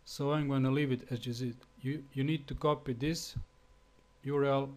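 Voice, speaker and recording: Man, Slovenian accent, non-native English, hesitant tutorial voice-over, medium pitch. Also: fairly even intonation, darkish tone. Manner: halting, with fillers and restarts. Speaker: web developer. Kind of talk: screencast tutorial.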